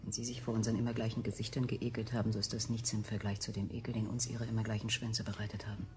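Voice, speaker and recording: A woman's voice: a bass voice, little pitch movement, rapid-fire, warm tone, a little hiss or hum. An actress playing a bitter enslaved woman who plots against her masters, in a declamatory, conspiratorial 1970s radio play style. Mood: defiant. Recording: 1970s studio radio recording.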